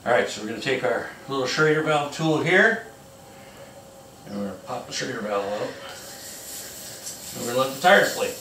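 A man's voice: medium pitch, expressive, normal speed, pauses often, sharp tone. A man in his forties hosting a casual how-to demonstration in his garage, chatty and joking while he works. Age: 40s. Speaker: homestead channel host. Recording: echoey garage, distant mic, noisy.

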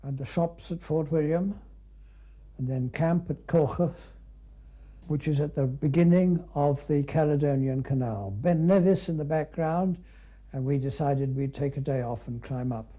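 An older British man recalls an expedition as he comments on a film. His voice is very soft-edged and dark, set a little high for a man. He talks conversationally, without a script, dropping in short musing asides. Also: a little slow.

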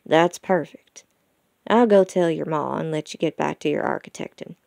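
A woman's voice: deep voice, normal speed, gravelly, a little mellow, no room sound, clean studio sound. A woman voicing an older male wolf, a folksy, good-humored farm father, in an audiobook story. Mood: eager, affectionate. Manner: folksy rural phrasing with y'all and ain't-style grammar. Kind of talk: audiobook character dialogue.

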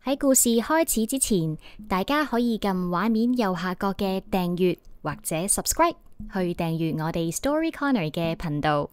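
Female channel announcer, Hong Kong Cantonese accent, mid-pitched, close dry sound, light music. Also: animated, normal speed, clean tone, dry recording. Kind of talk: scripted channel promo.

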